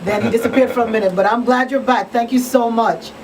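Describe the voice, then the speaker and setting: A woman's voice: on the high side, fairly even intonation, fast, darkish tone. A woman hosting a casual talk show in an informal, appreciative way. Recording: distant mic, clearly noisy background.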